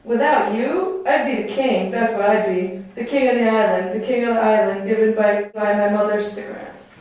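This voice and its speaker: A woman's voice: medium pitch, normal speed, a little mellow, lightly acted. A woman playing a bitter, defiant enslaved island savage in a puppet show, taunting and declamatory in delivery. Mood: indignant. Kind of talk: theatrical puppet show dialogue. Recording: very echoey room, distant mic.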